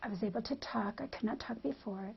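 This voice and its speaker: A woman giving an unscripted testimonial as a patient, speaking haltingly, word by word. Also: on the high side, gravelly, husky, highs cut off.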